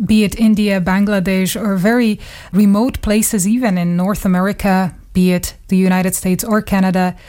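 A woman's voice: medium pitch, a little mellow. Woman reminiscing in a radio studio. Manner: thoughtful, laughs between phrases. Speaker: radio journalist. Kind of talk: conversational reminiscence.

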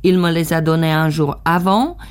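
A woman reading a voice-over translation of an interview in a calm, even way. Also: deep voice, normal speed, clear, resonant tone.

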